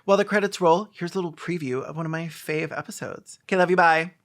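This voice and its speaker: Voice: mid-range voice, quick pace. A video host giving sarcastic, foul-mouthed comedic commentary in a snarky, mocking manner.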